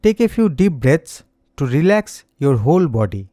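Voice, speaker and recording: A man leading a slow guided meditation as an instructor, speaking in a gentle, soothing, scripted manner. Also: on the high side, big pitch swings, no echo, studio quality.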